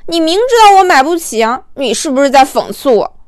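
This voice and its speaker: A woman's voice: high voice, expressive, brisk, dry recording, clean studio sound. A woman reading the part of a naive young actress and anxious girlfriend in an audiobook, informal and pleading. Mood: indignant.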